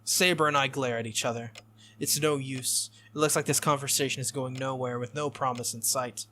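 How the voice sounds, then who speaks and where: A man's voice: mid-range voice, steady tone, fairly quick, sharp tone. A man reading a visual novel aloud, narrating plainly in the first person as its hero. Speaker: game streamer.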